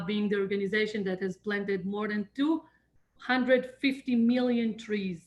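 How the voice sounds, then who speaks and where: A woman's voice: medium pitch, fairly bright tone. Israeli lady giving an online talk, lots of ums and restarts, video call sound. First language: Hebrew. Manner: hesitant, many fillers and restarts. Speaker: guest speaker, nonprofit emissary.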